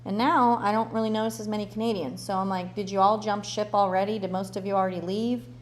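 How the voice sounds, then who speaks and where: A woman's voice: medium pitch, steady tone, quick pace, heavy background noise. A woman hosting a casual livestream Q&A, reading viewer comments aloud and answering in a loose, chatty way, thinking aloud with fillers and restarts. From born in Russia, grew up in the United States. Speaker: relocation consultant hosting a livestream Q&A.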